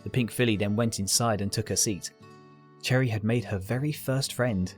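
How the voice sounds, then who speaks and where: A man's voice: lowish voice, normal speed. A man reading scripted story narration as the narrator. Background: music.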